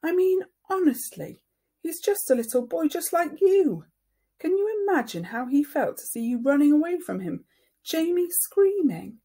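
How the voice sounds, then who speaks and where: A woman's voice: very high voice, sing-song, normal speed, mellow, voice pushed up, heavily acted. A woman voicing a firm, earnest mother of two young boys in a storybook, speaking with emphasis. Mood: stern, disappointed.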